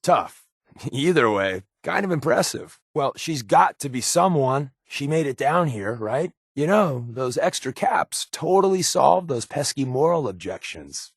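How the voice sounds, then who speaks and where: An actor voicing a sly, sardonic undercover agent as a video game companion, glib and conversational with dry sarcasm. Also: male voice, medium pitch, very animated, unhurried, breathy edge, no room sound, exaggerated voice. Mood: breezy, joking.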